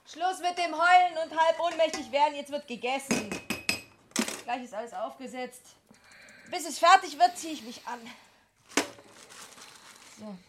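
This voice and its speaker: An actress playing a brisk, coaxing and calculating woman, a returning former mistress in a radio play. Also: high-pitched, background noise. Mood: brisk, bustling. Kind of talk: German radio play acting.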